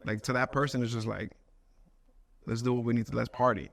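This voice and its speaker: A man in his thirties, a studio owner, talking casually as a podcast guest in a relaxed, rambling way with many fillers. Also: mid-range voice, brisk, vocal fry. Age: 30s. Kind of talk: casual interview chat.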